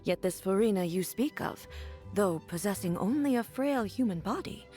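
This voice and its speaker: A stern warrior sovereign from a fantasy game, acted by a woman. She speaks at a middle pitch in stately, formal phrases, resolute and solemn, with soft music behind her. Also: animated, normal speed, lightly acted. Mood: solemn respect. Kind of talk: scripted game character voice lines.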